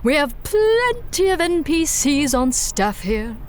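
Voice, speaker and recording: Breezy, lilting villain recruiter. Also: female voice, high-pitched, very animated, slow, slight background noise, exaggerated voice. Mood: breezy, businesslike. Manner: breezy, corporate, playful. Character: breezy female villain recruiter, businesslike, teasing. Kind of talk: audio drama acting.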